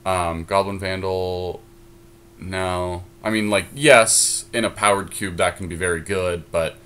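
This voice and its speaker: A man's voice: deep voice, fairly even intonation, a little slow, bright, forceful tone, clean tone. A man co-hosting a casual podcast, thinking aloud through card picks with hesitant fillers. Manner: musing, hesitant, lots of fillers. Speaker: podcast co-host. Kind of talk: casual chat while drafting a card game.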